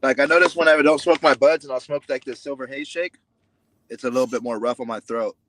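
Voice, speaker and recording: A man's voice: medium pitch, steady tone, quick pace, a bit bright, a little hiss or hum. A man joining a live online panel as a guest, talking in a laid-back, slangy, rambling way. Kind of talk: casual live panel chat.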